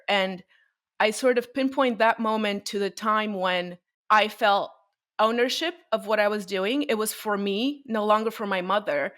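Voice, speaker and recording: A woman's voice: mid-range voice, fairly bright tone. A woman from Bulgaria, a violinist, answering interview questions in a conversational, storytelling way and laughing often. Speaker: violinist guest.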